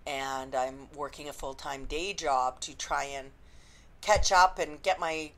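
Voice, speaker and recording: A woman, an indie romance author, chatting unscripted to camera with a slightly husky voice and lots of ums. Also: very deep voice, steady tone, measured pace, fairly bright tone. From Canada. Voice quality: slightly husky after illness. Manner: chatty, rambling, frequent ums. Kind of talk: unscripted vlog chat.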